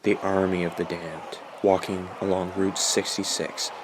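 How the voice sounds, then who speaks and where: A man's voice: deep voice, little pitch movement, measured pace, noisy background. A man playing a bitter, guilt-ridden young man who narrates a horror radio drama in a brooding, self-loathing way. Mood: grim, resigned.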